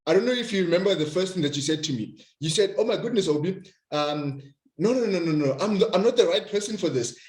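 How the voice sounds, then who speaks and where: Enthusiastic man hosting a video call interview, fairly high voice, echoey room. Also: animated, quick pace. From South Africa. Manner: effusive, enthusiastic, some stammered restarts. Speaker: host. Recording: roomy echo.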